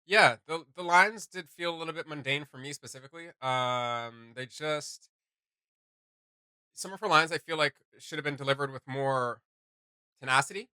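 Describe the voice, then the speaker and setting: A man with a fairly high, very bright voice talks casually as a reaction video host. He rambles and pauses to hunt for the right word. The sound is dry, with little room in it. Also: smooth, dry recording. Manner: hesitant, rambling, searching for words. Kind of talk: casual chat reacting to game voice lines.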